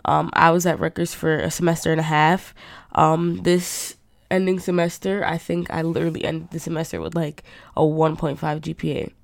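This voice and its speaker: Nasal, stuffed-up young woman, low voice. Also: flat delivery, normal speed, vocal fry, dry recording. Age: young adult. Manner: rambling, chatty, lots of fillers. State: stuffed up from a cold. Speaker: podcast host. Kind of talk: casual monologue.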